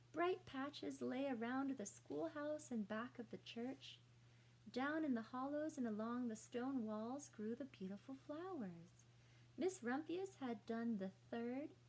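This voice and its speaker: Higher-voiced woman reading a storybook aloud. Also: darkish tone, rough recording. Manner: explanatory, inviting questions to children. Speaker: teacher reading aloud to children. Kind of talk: storybook read-aloud with asides to young listeners.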